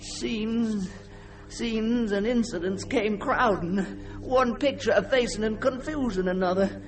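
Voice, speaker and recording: An actor playing a haunted, guilt-ridden man who recounts a nightmare in a halting, stammering, theatrical way, as in an old-time radio mystery. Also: high voice. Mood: fearful, agitated. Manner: halting, stammering, theatrical delivery with repeated words. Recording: old radio drama with music underneath.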